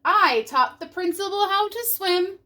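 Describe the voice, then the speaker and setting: Woman voicing an excitable, high-pitched little boy frog. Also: a little slow, full character voice. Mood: cheerful, flustered. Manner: exclaiming, eager.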